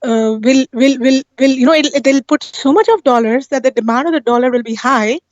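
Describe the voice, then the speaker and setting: High pitched lady explaining stuff on a live stream, insistent, keeps checking you agree, muffled lofi sound. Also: a little fast, no room sound. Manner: insistent, often seeks agreement with tag questions. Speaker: guest on a live talk stream. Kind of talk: casual explanatory discussion.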